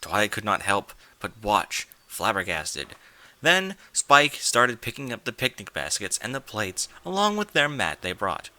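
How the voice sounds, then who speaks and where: A man's voice: on the low side, very animated, unhurried, bright, forceful tone, no room sound. A man narrating a scripted story in a dramatic, theatrical and emphatic manner. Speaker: narrator, reader of fan fiction.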